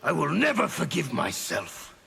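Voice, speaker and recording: A man playing an arrogant, brutal army officer in a video game, gruff and contemptuous. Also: a little high, wildly swooping pitch, moderate pace, heavy background noise, heavily acted. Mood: contemptuous, boastful. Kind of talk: video game character dialogue.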